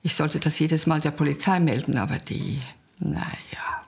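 An actress playing a weary, indifferent aunt in a radio crime drama, blunt, dry and resigned. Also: female voice, low voice, moderate pace, gravelly. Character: weary, indifferent, plain-spoken aunt of delinquent boy.

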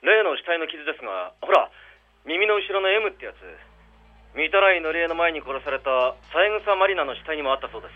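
A man's voice: fairly high pitch, animated, fairly quick, natural voice. A man playing a matter-of-fact police detective in a Japanese radio drama, heard over a telephone line. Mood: businesslike. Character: businesslike male police detective reporting on murders.

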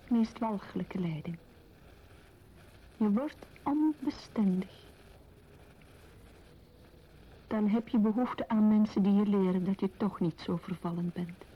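Radio drama: female actor as introspective, uneasy wife baring herself, medium pitch, slightly muffled sound. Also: measured pace, close to an everyday voice. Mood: anxious. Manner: confessional, introspective. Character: troubled, introspective wife laying bare her unease. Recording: dull radio play, faint noise.

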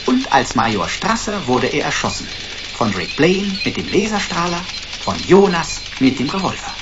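An actor playing a detective's erudite, flowery sidekick in a sci-fi radio drama, mock-formal and long-winded. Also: male voice, a little high, very animated, moderate pace, dull audio, over music. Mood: wry explaining.

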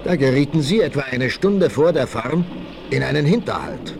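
Animated male storyteller, noisy old record. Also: medium pitch, normal speed, gravelly. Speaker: narrator. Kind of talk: dramatic scripted storytelling, 1960s radio play. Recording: noisy old vinyl rip.

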